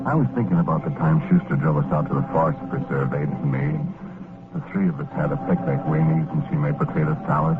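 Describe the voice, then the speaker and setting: A man's voice: very deep voice, creaky, heavily acted. An actor playing a meek, wistful working-class American ghost, telling his story in rambling slang in 1940s radio drama style. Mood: wistful, resigned. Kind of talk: 1940s radio drama first-person narration. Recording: muffled old radio recording with music. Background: music.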